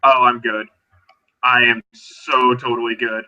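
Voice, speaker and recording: A man playing a tabletop roleplaying game, narrating and chatting casually in a halting, self-correcting way. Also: medium pitch, level tone, muffled recording.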